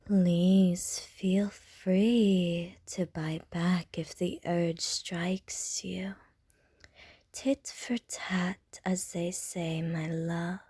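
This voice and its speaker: Short, teasing female vampire voiced by a woman for ASMR roleplay: unhurried half-whispered delivery, mellow tone, innuendo-heavy flirting. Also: fairly low pitch, little pitch movement, slightly rough, no echo, clean studio sound, heavily acted. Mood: flirty whisper.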